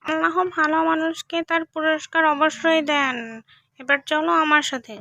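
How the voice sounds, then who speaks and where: Woman voicing a squeaky, bright little cartoon fox. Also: level tone, normal speed, dry recording. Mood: earnest. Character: small, grateful, helpful talking fox. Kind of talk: cartoon story acting.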